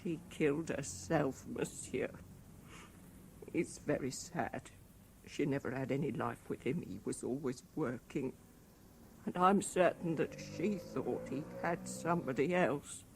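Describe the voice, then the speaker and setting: An actor playing a deferential, plaintive household servant in a 1970s radio drama, gossipy and sympathetic, on an old radio recording. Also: male voice, fairly high pitch, measured pace, gravelly, slight background noise, poor audio. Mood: sorrowful.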